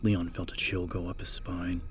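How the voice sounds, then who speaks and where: A man's voice: deep voice, level tone, moderate pace, faint music behind. A man reading scripted horror fiction as a dramatic audiobook narrator.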